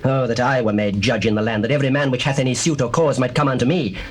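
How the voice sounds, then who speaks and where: An actor playing a proud, scheming young prince in a dramatized scripture, with a British accent and declamatory, formal speech. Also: male voice, mid-range voice, fairly even intonation, fast talker, lightly acted. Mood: smooth, persuasive. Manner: declamatory, archaic formal phrasing. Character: proud, scheming young prince plotting against his father. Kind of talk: dramatized scripture, theatrical British stage delivery. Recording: noisy old cassette tape, birdsong behind.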